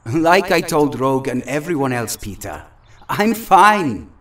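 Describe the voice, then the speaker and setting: A man's voice: mid-range voice, sing-song, unhurried, a bit bright, distant mic. A man acting a wry, guarded young mutant superhero in an audio drama, lightly deflecting as he speaks.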